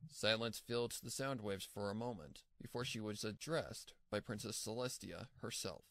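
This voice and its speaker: A man's voice: fairly low pitch, normal speed, a bit bright, no room sound. A man reading scripted fan-fiction narration as the storyteller.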